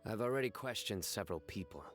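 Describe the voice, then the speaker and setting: Game role: serious, reserved enforcer general played by a man, low pitch, measured delivery, music underneath. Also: expressive, close to an everyday voice. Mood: grave, composed. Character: stern, composed young male investigator, a general of enforcers. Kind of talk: video game character acting.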